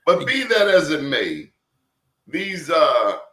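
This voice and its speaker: Animated man telling a story in an echoey room. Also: fairly high pitch, slow. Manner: animated, emphatic storytelling with rhetorical questions. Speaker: professor and guest. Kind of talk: casual conversational talk.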